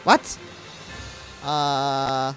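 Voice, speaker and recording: A woman's voice: a bass voice, monotone, very slow, over music, poor audio. A young woman chatting casually while streaming a game, giggly and delighted. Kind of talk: casual live-stream chat.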